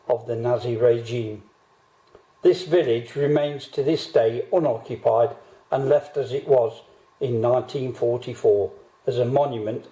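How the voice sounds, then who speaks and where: A man narrating solemnly in a measured, grave way and then interviewing a guest. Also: medium pitch, warm tone, reverberant, low-quality recording. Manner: grave, measured delivery with rhetorical questions.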